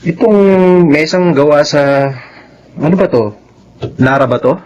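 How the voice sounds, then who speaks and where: Man acting a high-pitched, jealous, menacing husband. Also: a little slow, a little mellow, lo-fi sound, natural voice. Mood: casual. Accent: Filipino. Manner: accusing, taunting. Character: jealous, menacing lawyer husband, younger brother. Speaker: radio drama actor. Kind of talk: melodramatic Filipino radio drama acting.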